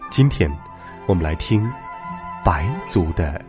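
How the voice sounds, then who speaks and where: A man narrating a bedtime story for children as host, in simple, expressive phrases. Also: low voice, slow pace. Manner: addresses young children in simple, animated phrases. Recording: music behind the voice. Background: background music.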